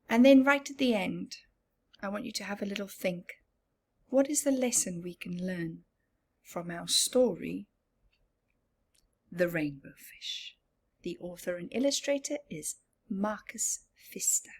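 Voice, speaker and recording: A woman reading a story aloud to children as a teacher would, expressive and deliberate. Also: medium pitch, breathy edge. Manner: expressive, deliberate, questioning the listeners.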